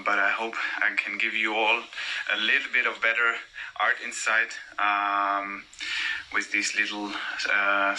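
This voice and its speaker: A man's voice: fairly low pitch, sharp tone, poor audio. A man hosting a live-stream talk with an art collector, speaking hesitantly with frequent fillers over a video call. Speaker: host of collector talks.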